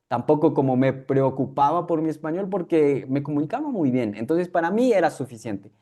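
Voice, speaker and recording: A man's voice: medium pitch, warm tone. Reflective man with a K'iche' accent. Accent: K'iche'-influenced Guatemalan Spanish. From Guatemala. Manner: reflective, many fillers and restarts. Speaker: guest. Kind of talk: conversational interview.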